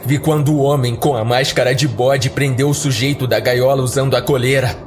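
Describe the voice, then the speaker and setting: Um homem com sotaque brasileiro narrando uma história de terror em primeira pessoa, de modo pausado e dramático. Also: male voice, medium pitch, fairly even intonation, soft background music. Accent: Brazilian. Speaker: horror podcast narrator. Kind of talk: scripted first-person horror narration.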